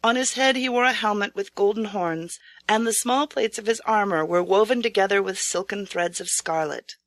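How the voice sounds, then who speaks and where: A woman reading a scripted storybook tale as narrator, in a measured, formal, dramatic manner. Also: medium pitch, no echo. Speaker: audiobook narrator.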